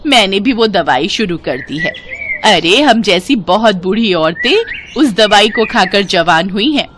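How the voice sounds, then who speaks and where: A woman's voice: mid-range voice, lively intonation, a little slow, noisy background, natural voice. A woman voicing a frail, wheedling old woman who is really a sly con artist, in a storybook tale, drawling and coaxing.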